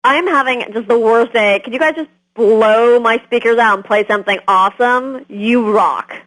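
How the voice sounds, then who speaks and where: A woman's voice: on the high side, moderate pace, a bit bright, lightly acted, low-quality recording. Woman doing a gushing teen girl caller. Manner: gushing, breathless fan talk. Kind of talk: scripted game voice lines.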